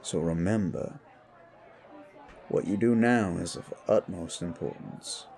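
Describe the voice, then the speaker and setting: A man voicing a worldly, protective supernatural mentor in an audio drama, measured and admonishing as he speaks. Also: sits low, darkish tone, a faint crowd. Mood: earnest, advising. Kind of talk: audio roleplay dialogue.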